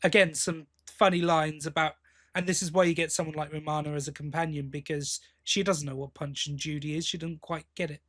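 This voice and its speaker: A man with a fairly high-pitched voice talks casually as a podcast co-host reviewing a story. He speaks haltingly, pausing to think aloud and rephrase as he goes. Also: level tone, a bit bright.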